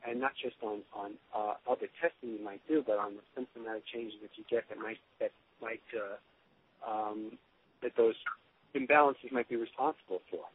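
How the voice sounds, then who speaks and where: A man's voice: on the low side, moderate pace. A man speaking as a doctor giving an informal testimonial on a conference call, rambling and often restarting his phrases. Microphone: telephone. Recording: tinny phone line with clear noise.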